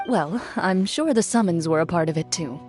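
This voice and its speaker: A woman playing a composed, stoic duelist and hunter in a video game, speaking in a calm, measured, formal way. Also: on the low side, faint music behind, natural voice. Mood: calm, resolute.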